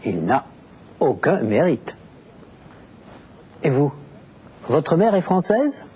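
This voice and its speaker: A man narrating a language lesson, explaining deliberately with very clear enunciation. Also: a little high, sing-song, darkish tone, slight background noise. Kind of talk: scripted teaching narration.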